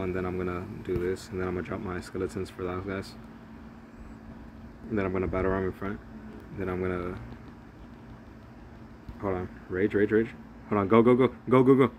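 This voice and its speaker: A man's voice: sits low, flat delivery, fairly quick, pauses often, background noise. A young man rambling through casual, profane play-by-play of a mobile strategy game as a gaming channel host. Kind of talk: casual gameplay commentary.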